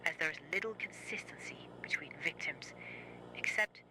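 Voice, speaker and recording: A performer playing a formal, measured news reporter reading a scripted bulletin in a horror series. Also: medium pitch, background noise, close to an everyday voice. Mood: grave, formal.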